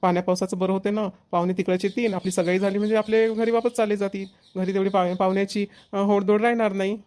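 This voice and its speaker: A woman's voice: mid-range voice, fairly even intonation, fast, darkish tone, close to an everyday voice. A woman voicing an easygoing, helpful young village son in a cartoon comedy, plain and matter-of-fact, with a Varhadi Marathi accent. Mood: explaining.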